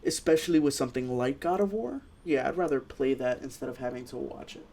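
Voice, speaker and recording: A man's voice: medium pitch, quick pace, warm tone, slight background noise. A man co-hosting a film review podcast in casual, informal conversation. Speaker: podcast co-host reviewing films. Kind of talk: casual chat.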